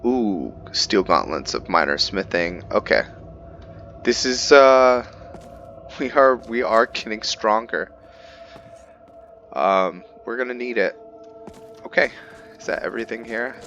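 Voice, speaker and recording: A man's voice: fairly low pitch, lively intonation, takes it slowly, long pauses, fairly bright tone, highs cut off, soft background music. A man giving casual let's-play commentary, thinking aloud and narrating his own moves as "we". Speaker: gameplay video creator.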